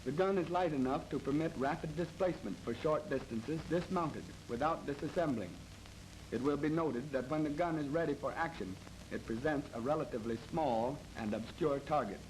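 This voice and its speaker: An American man narrates a military training film in a formal, measured, matter-of-fact 1930s instructional style. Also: fairly high pitch, a little mellow. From United States. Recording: noisy old 1930s film soundtrack.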